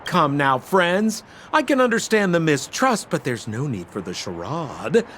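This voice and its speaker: A man's voice: on the high side, very animated, measured pace, fairly bright tone, a little hiss or hum. A man playing a sly, theatrical, ingratiating treasure-hunting con man in a video game. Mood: glib, persuasive.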